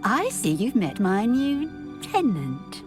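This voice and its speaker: A woman's voice: on the high side, big pitch swings, slow pace. A woman playing a deceitful, haughty shopkeeper villain in a fantasy video game, with an affected delivery. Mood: falsely sweet. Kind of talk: video game character acting. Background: background music.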